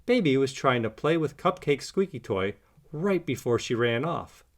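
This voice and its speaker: Man playing an upbeat boy puppy, storybook character, earnest, raised animated pitch. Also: moderate pace, natural voice. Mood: eager, determined. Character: eager, earnest young male puppy, storybook hero.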